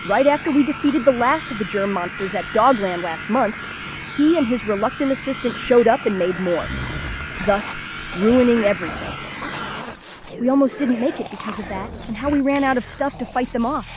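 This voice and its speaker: Woman as Max, a higher-voiced kid leader. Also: normal speed, noisy background, lightly acted. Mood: serious, explaining. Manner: earnest, take-charge. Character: earnest kid leader, Max, organizing friends. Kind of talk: comic dub character acting.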